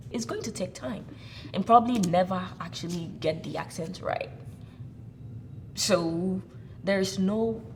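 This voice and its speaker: A woman's voice: lowish voice, slight background noise. A woman hosting a casual interview, speaking in an earnest, encouraging way. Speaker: host.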